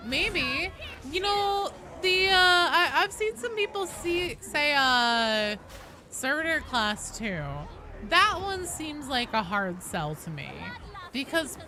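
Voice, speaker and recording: Woman streaming a game, chatty n sweary, voice kinda high and lively, clear noise behind. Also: takes it slowly. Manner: sweary, conversational. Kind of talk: casual livestream chat over gameplay. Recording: noisy game audio behind the voice.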